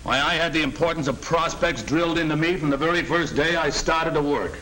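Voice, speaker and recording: An actor playing an earnest middle-aged American sales manager in a 1940 training film, speaking in a firm, instructive way. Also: male voice, on the high side, a little fast, pushed and bright. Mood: reflective, earnest. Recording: noisy old 1940 film soundtrack.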